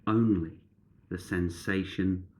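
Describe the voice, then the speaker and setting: A man guiding a meditation in measured, instructive short phrases with pauses between them. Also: low voice, fairly even intonation, darkish tone, a little hiss or hum. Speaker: meditation guide.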